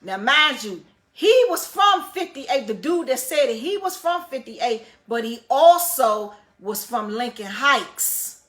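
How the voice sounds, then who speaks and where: Woman, loud voice, raised pitch, casual, swearing, animated, repeats phrases. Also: slow pace. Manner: profane, animated retelling. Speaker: vlogger telling a personal story. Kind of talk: casual storytelling.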